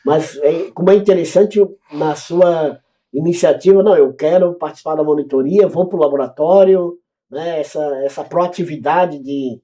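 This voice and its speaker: A man's voice: a little high, moderate pace, mellow. A man with a Brazilian accent hosting a podcast interview, polite and asking questions, murmuring agreement while his guest talks. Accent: Brazilian Portuguese. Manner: polite, frequent affirming murmurs. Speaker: podcast host.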